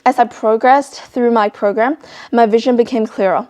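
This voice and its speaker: High-voiced teenage girl from China. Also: fairly even intonation, moderate pace, a little mellow. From China, later Australia. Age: teens, high school student. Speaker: interviewee, student author. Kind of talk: interview answers about own project.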